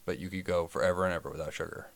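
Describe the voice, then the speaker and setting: A man's voice: low voice, steady tone, very fast, creaky, fairly bright tone. A man co-hosting a podcast, a health professional explaining things in a plain, matter-of-fact way. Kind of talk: casual chat.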